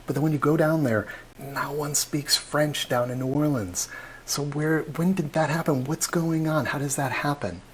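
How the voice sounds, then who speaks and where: A man working as a tour guide, giving a chatty, animated talk during a live online tour. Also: a little high, moderate pace, a little hiss or hum.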